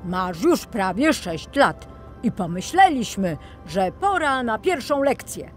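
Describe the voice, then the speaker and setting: A woman's voice: fairly high pitch, very animated, takes it slowly. Woman playing an earnest toy fire truck over music. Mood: earnest, encouraging. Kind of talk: children's audio tale acting.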